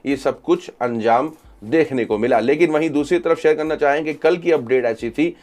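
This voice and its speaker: Higher-pitched man presenting news commentary. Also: normal speed, a little mellow. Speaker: host of a social media news channel. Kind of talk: news commentary reading out social media reactions.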